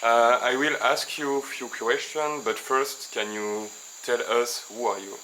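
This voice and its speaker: A man's voice: mid-range voice, fairly even intonation, unhurried, sharp tone, low-quality recording. A man interviewing a guest outdoors, asking simple, friendly questions. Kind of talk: casual interview. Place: outdoors on a mountain farm. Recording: outdoor noise with crickets.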